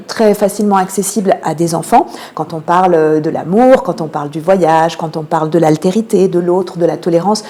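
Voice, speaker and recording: Mellow-voiced woman explaining, with frequent hesitations. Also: mid-range voice. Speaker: theatre programming manager, interview guest. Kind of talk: radio interview, explanatory answers.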